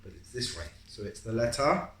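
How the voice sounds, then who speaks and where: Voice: mid-range voice, animated, a little fast, fairly bright tone. An adult reading a picture book aloud to a young child, encouragingly, with guiding questions and stretched-out story phrases. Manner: encouraging, asks guiding questions and confirms answers. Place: echoey room at home. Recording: roomy echo, some background noise.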